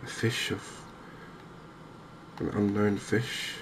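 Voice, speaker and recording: A man giving softly spoken ASMR commentary on a game, hushed, hesitant and unhurried, close to the microphone. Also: lowish voice, level tone. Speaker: gaming video host. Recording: clear background noise.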